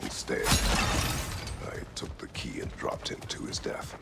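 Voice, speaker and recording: A man playing a grim, remorseful warrior in a video game, speaking in a terse, grave and halting way with a gravelly growl. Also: heavy background noise, close to an everyday voice. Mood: grim confession. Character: grim, remorseful male warrior, former servant of a god. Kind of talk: dramatic video game acting.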